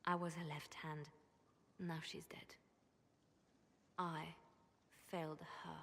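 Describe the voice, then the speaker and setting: An actress playing a grieving, devout, intense spymaster in a video game, hushed and bitter. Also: female voice, low voice, level tone, slow, exaggerated voice. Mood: anguished, bitter. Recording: long hall reverb, faint noise.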